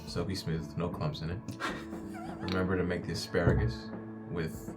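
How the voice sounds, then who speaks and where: A man puts on a fussy corporate agent in a sci-fi roleplaying game. The voice is deep and demanding, stretched out with pauses, and music plays clearly in the background. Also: little pitch movement, close to an everyday voice. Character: entitled, fussy male corporate agent with luxurious tastes. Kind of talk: tabletop roleplay in character.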